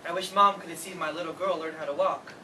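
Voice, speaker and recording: A man's voice: on the high side, steady tone, quick pace, close to an everyday voice. A man playing a blunt soldier son around thirty in a staged play reading. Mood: wistful. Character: blunt thirty-year-old soldier son, organized, married younger brother. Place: theater stage, recorded from the audience. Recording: distant, noisy live theater recording.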